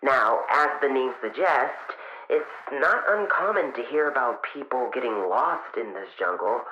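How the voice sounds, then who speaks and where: A man voicing an eager, nervous young fox boy inventor who records a log in an audio drama, chatty and halting. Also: medium pitch, echoey room, dull audio, slight background noise, lightly acted. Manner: halting, self-interrupting, awkwardly chatty.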